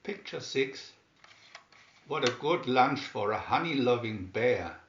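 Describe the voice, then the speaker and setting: Male narrator for children's picture stories. Unhurried, very expressive delivery; dull-sounding home recording. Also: medium pitch, reverberant, slight background noise. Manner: deliberate, with emphatic swoops on key words. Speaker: storybook reader. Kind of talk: scripted children's story narration, read aloud slowly and expressively.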